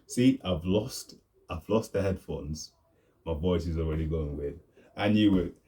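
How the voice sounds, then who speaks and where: A young man, talking in a rambling, casual vlog monologue and deliberately pushing his voice deeper. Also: mellow. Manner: deliberately deepened, laid-back voice. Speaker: vlogger.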